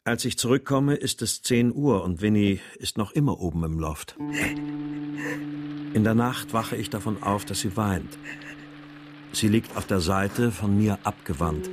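An actor playing a troubled husband and writer, narrating a literary radio drama in a measured, sombre first person. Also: male voice, lowish voice, close to an everyday voice. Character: troubled husband, a writer, recounting his story.

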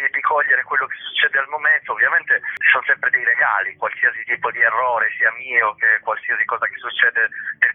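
A man's voice: mid-range voice, slightly rough. A man being interviewed as a stage actor, chatting casually in a hesitant, self-deprecating way over a phone. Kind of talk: casual interview talk about own work. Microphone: telephone. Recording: muffled narrow-band phone line.

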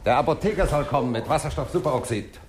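A man's voice: mid-range voice, quick pace, close to an everyday voice. A man playing a businesslike police subordinate making reports in a 1960s German radio drama. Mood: urgent. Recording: noisy old radio drama recording.

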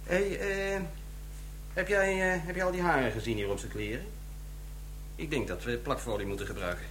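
A seasoned forensic detective, played by a man, jocular and colloquial, with animated intonation. The radio crime drama has a clear hum behind his voice. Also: mid-range voice, a little fast, natural voice. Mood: practical.

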